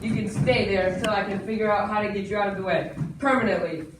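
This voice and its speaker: A man's voice: high voice, fast, lightly acted. A man playing a greedy, scheming swindler in a stage melodrama, sly and gloating as he confides in the audience. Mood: menacing. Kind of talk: theatrical stage melodrama acting. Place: indoor small school stage before an audience. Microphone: far camera. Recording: distant echoey school stage, faint noise.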